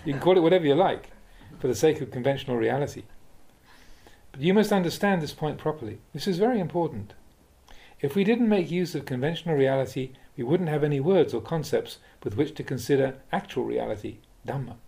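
A man, a Buddhist monk, reading spiritual teachings aloud in a measured, deliberate, instructive way, like an audiobook of a talk. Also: a little high, expressive, gravelly, warm tone. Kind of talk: audiobook-style reading of a dharma talk with questions and answers.

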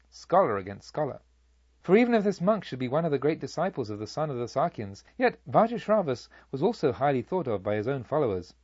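A man narrating a literary story as an audiobook, with expressive, deliberate and dramatic phrasing. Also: medium pitch, breathy edge, no room sound, muffled recording.

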